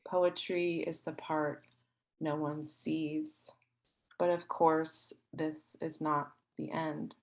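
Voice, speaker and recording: Low, flat-voiced woman reading slowly. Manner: measured, deliberate, formal. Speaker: event host introducing a speaker. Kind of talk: read poetic introduction.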